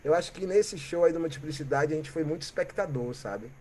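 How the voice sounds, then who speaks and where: Animated Brazilian man, slightly high voice. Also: a little fast, slight background noise. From Bahia, Brazil. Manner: animated, trails into "né?" and "sabe?". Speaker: musician guest. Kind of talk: reflective conversational storytelling.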